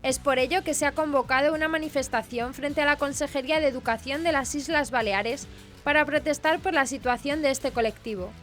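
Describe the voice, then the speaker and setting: High-pitched woman reading the news. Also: a little fast, a bit bright, faint music behind. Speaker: news presenter. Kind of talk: scripted news narration.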